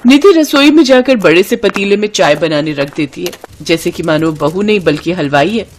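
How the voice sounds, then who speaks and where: A woman's voice: fairly low pitch, expressive, moderate pace, darkish tone, a little hiss or hum. A woman narrating a cartoon story in a dramatic storytelling manner.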